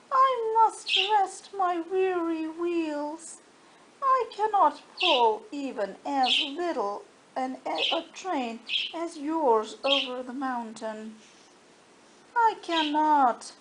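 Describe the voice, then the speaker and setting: Lady doing a worn out sad train engine for a kids story, real slow, high voice. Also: noisy background, heavily acted. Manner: slow, drawn-out, tired refrain. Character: weary old train engine, gloomy and unwilling.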